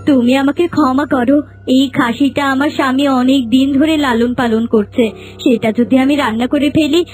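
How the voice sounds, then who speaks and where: Cartoon casting: actress as spiteful young village wife, high voice, melodramatic delivery. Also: female voice, steady tone, brisk, a little mellow, soft background music, natural voice. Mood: anxious, pleading. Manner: dramatic, exclamatory. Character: spiteful young second wife, scolding bully turned cowering servant. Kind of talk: Bengali cartoon voice acting.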